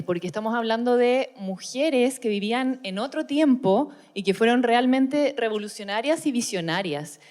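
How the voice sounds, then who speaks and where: Thoughtful woman, medium pitch. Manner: thoughtful, earnest. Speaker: actress, guest. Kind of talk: reflective interview talk.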